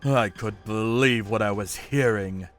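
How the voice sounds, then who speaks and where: A man's voice: lowish voice, a little slow, soft background music, natural voice. A man voicing a grim, coolly menacing vigilante torturer, narrating his revenge work in a hard-edged, dramatic manga style. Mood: grim, resolute.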